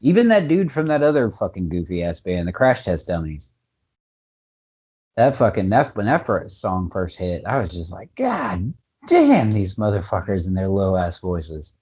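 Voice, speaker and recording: A man co-hosting a podcast in casual chat, excitable and swearing constantly. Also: deep voice, normal speed, breathy edge. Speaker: podcast co-host.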